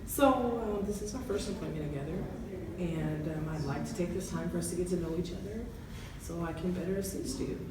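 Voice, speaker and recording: Casting: woman as reassuring counselor, lowish voice, measured delivery, student-film drama, roomy distant-mic sound. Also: natural voice. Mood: gentle, probing. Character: calm, supportive female therapist, recounting a client's case. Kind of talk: amateur dramatization acting. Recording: very echoey room, distant mic, clear noise.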